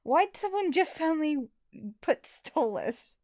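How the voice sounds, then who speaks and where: Slow, higher-voiced woman, casual streamer. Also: lively intonation, a little mellow, no room sound, clean studio sound. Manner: mild, folksy exclamations instead of swearing. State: a little tired. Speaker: live stream host and amateur voice actor. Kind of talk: casual live stream chat.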